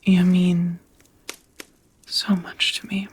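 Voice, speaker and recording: Breathy, whispering vampire woman voiced by an actress, slow. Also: lowish voice, completely flat delivery, exaggerated voice. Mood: tender, hesitant. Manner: slow, hesitant, intimate, slightly old-fashioned phrasing. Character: tender, nervous ancient female vampire confessing love. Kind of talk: whispered ASMR romantic roleplay.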